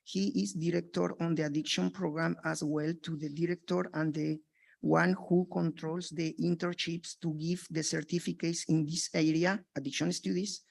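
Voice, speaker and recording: Mexican guy w/ spanish accent, raspy gravelly voice, earnest broken english public comment. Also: fairly high pitch. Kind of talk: public comment at a board meeting.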